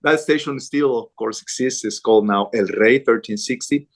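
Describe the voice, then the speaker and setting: A man's voice: medium pitch, moderate pace. A middle-aged man from Colombia, a news anchor, talking earnestly in an interview, with frequent restarts and fillers. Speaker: interview guest, Spanish-language news anchor. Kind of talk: conversational interview.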